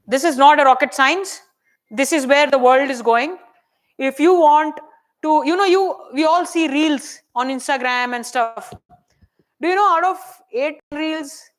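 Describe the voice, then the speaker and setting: Woman, Indian English accent, high voice, soft-spoken, workshop teacher, keeps asking "right?". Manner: chatty, checks in often with "right?" and "okay?". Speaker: AI workshop presenter. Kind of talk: hands-on tech workshop teaching.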